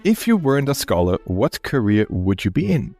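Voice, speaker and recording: A man's voice: lowish voice, sing-song, moderate pace, a little mellow, soft background music. A man with an Austrian accent hosting a podcast interview, upbeat and friendly, with frequent ums. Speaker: podcast host.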